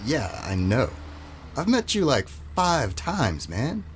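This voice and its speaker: An actor playing an earnest seventeen-year-old farmhand who narrates in a reflective, plainspoken way, as in a scripted audio drama. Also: male voice, mid-range voice, wildly swooping pitch, takes it slowly, a little hiss or hum, exaggerated voice. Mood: casual. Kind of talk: scripted first-person narration.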